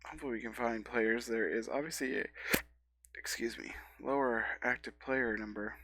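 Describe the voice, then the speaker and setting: A man's voice: mid-range voice, normal speed. A man in his thirties with a scratchy, stuffy voice from a cold, casually commenting on his own card game as he plays, thinking aloud and correcting himself. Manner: rambling, thinking aloud with fillers and self-corrections. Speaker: gameplay streamer.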